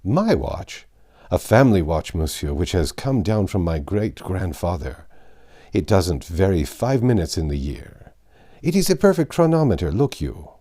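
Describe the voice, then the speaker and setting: A man's voice: sits low, very animated, a little slow, mellow, heavily acted. A man voicing a voluble, proud and trusting French servant in a storybook, chatty and emphatic. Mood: indignant, proud.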